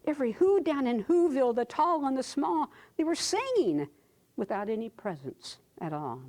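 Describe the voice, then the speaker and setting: A woman reciting a children's story in a theatrical way, with dramatic pauses and drawn-out emphasis. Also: high voice, sing-song, darkish tone.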